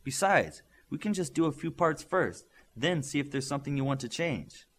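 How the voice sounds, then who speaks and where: Casting: male narrator as businesslike woman scientist, precise and measured, varied pitch, slightly rough tone. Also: lively intonation. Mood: practical. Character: brisk, practical adult female scientist, quietly pleased.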